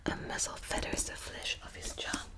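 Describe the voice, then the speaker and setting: A woman whispering close to the mic in an ASMR book video, hesitant with many ums and restarts, also reading passages aloud. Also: normal speed. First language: Dutch. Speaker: ASMR creator.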